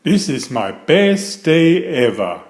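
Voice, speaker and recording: A proud young bear cub from a storybook, read by a man, eager and emphatic. The pitch swings widely, the words come slowly, and the room has an audible echo. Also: big pitch swings. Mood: excited. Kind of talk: children's storybook character voice. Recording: echoey room.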